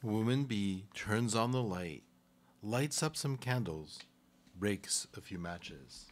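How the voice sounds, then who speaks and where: A man's voice: on the low side, expressive, slow. A man giving scripted narration, reading out stage directions for a radio play.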